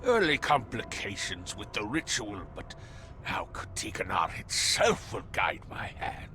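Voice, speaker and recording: An actor playing a haughty, zealous male cultist in a video game, speaking formally and theatrically, often sinking into a breathy murmur. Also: fairly low pitch, sing-song, measured pace, pushed and bright, full character voice. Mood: fervent. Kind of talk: dramatic video game cutscene acting.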